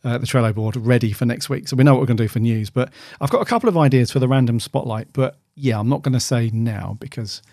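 A man's voice: medium pitch, fast, few pauses, a little mellow, no echo, clean studio sound. A man co-hosting a podcast in casual, easygoing, friendly chat. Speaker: podcast co-host.

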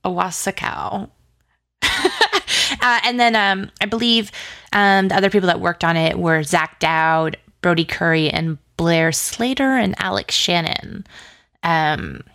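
A woman's voice: on the low side, a little slow, a bit bright. A woman being interviewed about creative work, speaking informally and effusively in tumbling asides. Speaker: interview guest, audio drama writer and producer. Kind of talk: casual interview chat.

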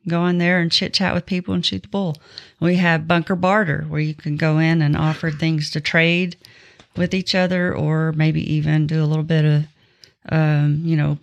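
A woman's voice: deep voice, creaky. Chatty woman co-hosting a casual podcast. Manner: informal, chatty. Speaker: podcast co-host. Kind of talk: casual podcast chat with announcements.

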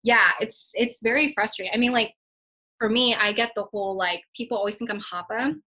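Woman, Vietnamese native, earnest and reflective, bright forward tone. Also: a little high, brisk. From United States. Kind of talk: casual conversation.

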